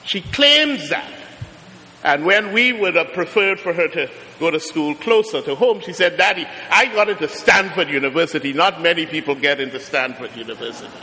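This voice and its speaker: Man, Trinidadian accent, middle-aged, sermon, high voice, emphatic rising peaks. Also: expressive, pushed and bright. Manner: preaching with rising emphatic peaks and slow drawn-out phrases. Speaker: guest preacher. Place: large church. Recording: long church reverb.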